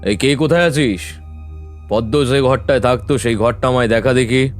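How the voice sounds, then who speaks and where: A man's voice: mid-range voice, moderate pace, soft background music, natural voice. A man playing a solemn, commanding elder guru who calls up spirits in an audio horror story. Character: commanding elder village guru summoning a dead woman's spirit.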